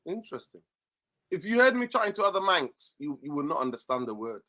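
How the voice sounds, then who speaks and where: An animated Mancunian man chatting on a football podcast with a swinging, lively voice. The tone is bright, and he swears freely. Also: fairly high pitch, fast. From Manchester. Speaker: podcast co-host. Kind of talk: casual chat.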